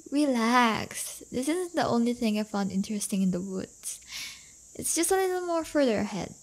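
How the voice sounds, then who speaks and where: A woman's voice: on the high side, expressive, low-quality recording. A woman playing a playful, crafty but affectionate teenage delinquent girlfriend in an intimate roleplay audio, teasing and coaxing. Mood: playful mocking. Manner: teasing, coaxing, drawn-out delivery.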